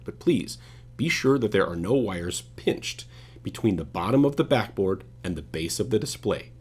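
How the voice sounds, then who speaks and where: A man's voice: medium pitch, darkish tone, husky. A man reading scripted step-by-step instructional narration in a methodical, directive way.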